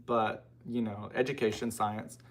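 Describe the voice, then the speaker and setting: A man's voice: on the low side, little pitch movement, a little slow. An American man hosting a casual livestream, chatting informally and rambling with frequent asides as he responds to viewers. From United States. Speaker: livestream host. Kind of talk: casual live chat, answering and reading out viewer comments.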